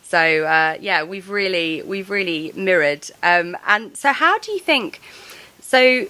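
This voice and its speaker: A woman's voice: fairly low pitch, lively intonation, takes it slowly, pushed and bright, clean tone. A young English woman hosting a casual travel podcast, bubbly and laughing often as she chats with her guest. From England. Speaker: podcast host.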